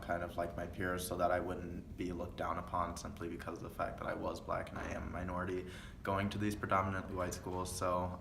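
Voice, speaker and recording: A young man, a second-year college student, answering interview questions thoughtfully with many pauses and fillers. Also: deep voice. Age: young adult. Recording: echoey room with faint noise.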